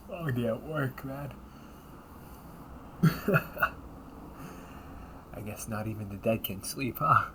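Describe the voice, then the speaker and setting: A man playing a wry, politely smug demon-hunting officer in an audio roleplay, speaking in a courteous, measured, teasing way with breathy asides. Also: medium pitch, bright, forceful tone, slight background noise, full character voice. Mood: apologetic, courteous. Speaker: amateur voice actor. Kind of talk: audio roleplay, one-sided dialogue addressed to the listener.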